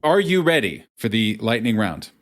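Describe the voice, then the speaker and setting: A man's voice: lowish voice, big pitch swings, normal speed. A man hosting a casual interview show, talking in wordy asides with playful mock drama.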